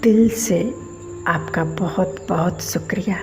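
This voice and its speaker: Female meditation guide: slightly low, creaky voice, measured short phrases with long pauses. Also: music in the background. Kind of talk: slow guided meditation commentary.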